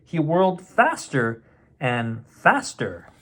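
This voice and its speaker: Guy reading a kids bedtime story, kinda high voice, super animated pitch, playful and chatty. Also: slow pace. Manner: playful, chatty, child-directed. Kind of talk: reading a picture book aloud with casual asides.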